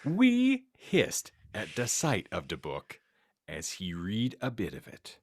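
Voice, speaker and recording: A male podcast host giving a theatrical, mock-serious comedic reading of fan fiction with deliberate delivery. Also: on the low side, huge pitch swings, no echo.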